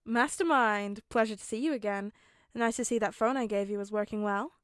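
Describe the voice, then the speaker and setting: A woman's voice: medium pitch, brisk, clear, resonant tone, dry recording, lightly acted. An easygoing underworld arms dealer man, read by a woman in a story. He talks in a wry, casual way. The sound is close and dry. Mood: genial.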